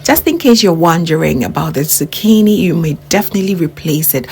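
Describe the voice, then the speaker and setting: Lively Ghanaian woman hosting a cooking show. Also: lowish voice, brisk, creaky. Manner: enthusiastic, repeats words for emphasis, addresses viewers as family. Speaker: cooking channel host. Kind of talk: cooking tutorial voice-over.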